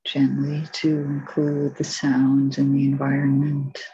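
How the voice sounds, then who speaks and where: A woman meditation teacher giving guided instructions in a soft, gentle, unhurried way. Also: rumbling low, monotone, breathy edge. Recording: dull, muffled lo-fi recording.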